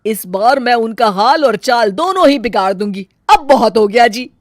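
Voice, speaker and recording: Woman as scheming older mother-in-law for a cartoon story, loud, snide asides. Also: on the high side, animated, moderate pace, no room sound, studio quality. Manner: muttering sarcastic asides, loud and scolding. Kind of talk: animated story voice acting.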